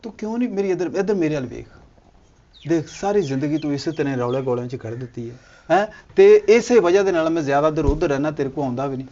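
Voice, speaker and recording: A man's voice: on the high side, fast talker, darkish tone, dull audio, natural voice. A man playing a cocky husband with two wives in a comedy skit, teasing and coaxing, then flaring up. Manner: teasing, wheedling, flares up indignantly.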